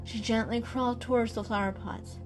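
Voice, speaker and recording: A woman's voice: fairly high pitch, fairly even intonation, brisk, music in the background. A woman narrator giving a scripted, dramatic reading of a storybook.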